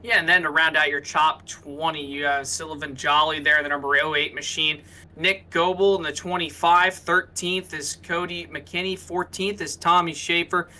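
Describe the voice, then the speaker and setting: A man's voice: a little high, level tone, moderate pace, bright, forceful tone. A man giving live co-commentary and analysis on a sim race in a casual, conversational way.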